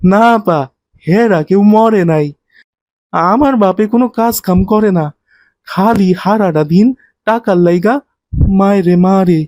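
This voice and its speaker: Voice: mid-range voice, a little slow, pauses often, darkish tone, no echo, natural voice. An actor voicing a poor, humble street boy in a cartoon, speaking a colloquial rural Bangladeshi dialect. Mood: plaintive. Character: poor abandoned street boy working as helper. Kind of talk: cartoon voice acting.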